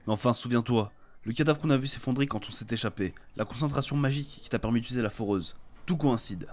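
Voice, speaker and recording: A man's voice: medium pitch, quick pace, close to an everyday voice. A man playing a bold, self-assured adventurer in a fantasy audio drama, speaking assertively. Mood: insistent. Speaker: voice actor in an amateur audio fiction. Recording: clear background noise and ambience.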